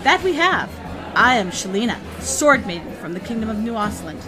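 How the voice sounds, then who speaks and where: An actress playing a confident, forthright sword maiden in a comic fantasy audio drama. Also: female voice, on the high side, sing-song, normal speed. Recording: music playing behind the voice.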